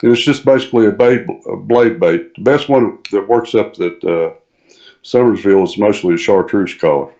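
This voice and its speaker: A man's voice: lowish voice, normal speed, warm tone. A man speaking as a podcast guest, explaining things casually in a plain-spoken, practical way over call-in audio. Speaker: podcast guest, tournament angler. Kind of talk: casual explanatory interview chat. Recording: dull, muffled call-in audio.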